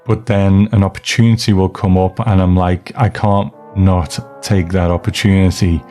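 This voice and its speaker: Deep, mellow-voiced man hosting a podcast. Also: flat delivery, moderate pace, faint music behind. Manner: rambling, self-deprecating. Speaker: podcast host. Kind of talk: casual reflective podcast talk, reading listener mail.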